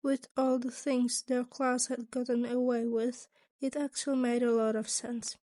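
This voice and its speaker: A woman's voice: a little high, little pitch movement, unhurried, dark tone, dry recording, clean studio sound. A woman reading scripted fan fiction aloud as the narrator of an audio story. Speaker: podfic narrator.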